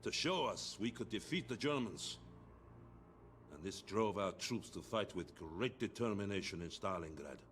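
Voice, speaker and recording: An actor playing a hardline, zealous Soviet officer in a game cutscene, speaking in a stern, declamatory, authoritative manner. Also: male voice, lowish voice, animated, normal speed, fairly bright tone, soft background music. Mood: stern, assured. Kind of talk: dramatic game cutscene dialogue.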